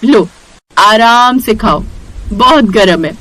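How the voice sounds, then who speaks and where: A woman voicing a kind, hardworking mother who runs a food stall in an animated moral story, caring and reassuring. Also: on the high side, slow, low-quality recording.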